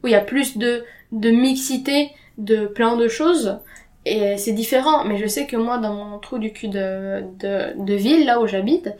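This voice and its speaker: A woman's voice: fairly high pitch, unhurried, darkish tone. A young woman whose native language is Arabic, talking candidly and informally about her own life as a podcast guest, with slang and the odd English word. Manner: informal slangy talk with occasional English words. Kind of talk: candid personal account in casual conversation.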